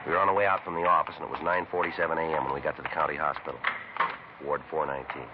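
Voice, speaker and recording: An actor playing a terse American police detective in a vintage radio drama, clipped, deadpan and matter-of-fact. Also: male voice, extremely low pitch, racing. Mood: dry narration. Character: terse, businesslike Los Angeles police detective sergeant. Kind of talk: 1940s-50s radio acting, first-person police narration. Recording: muffled hissy vintage radio recording.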